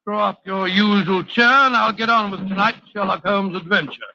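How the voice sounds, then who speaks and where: An actor playing a genial, blustery English doctor who tells detective tales in a 1940s radio drama, with a British accent and a hearty, fussing manner. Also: male voice, sits high, normal speed, pushed and bright, no echo, exaggerated voice. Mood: jovial. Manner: hearty, fussing, chuckling storyteller. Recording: muffled old radio broadcast.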